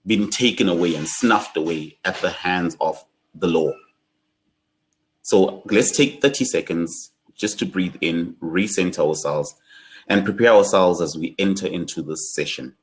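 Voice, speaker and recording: A young South African man with a South African accent, leading an online workshop in a measured, solemn way. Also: low-pitched, rough recording. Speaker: workshop facilitator.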